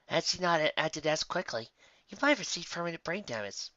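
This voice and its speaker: A man's voice: on the high side, animated, fairly quick, sharp tone, dry recording, lo-fi sound, pitched above the performer's own voice. A man voicing a concerned female nurse pony with a caring, professional manner in an amateur story reading. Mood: relieved, concerned.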